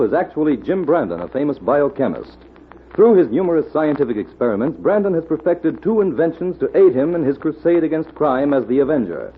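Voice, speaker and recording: A man's voice: fairly high pitch, husky. A man announcing an old-time radio mystery in a dramatic, declamatory and portentous style. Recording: tinny, phone-like old radio recording.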